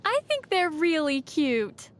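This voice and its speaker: A woman playing a young female adventurer in a video game, gentle and playfully wry in manner. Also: high-pitched, very animated, takes it slowly, heavily acted. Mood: teasing. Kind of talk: game voice acting. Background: faint background music.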